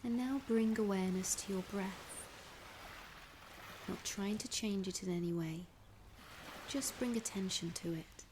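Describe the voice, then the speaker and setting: A woman narrating a scripted guided imagery meditation in a soft, soothing, breathy voice, gently instructing with long pauses. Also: medium pitch. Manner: unhurried, with long pauses between phrases. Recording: clear background ambience noise.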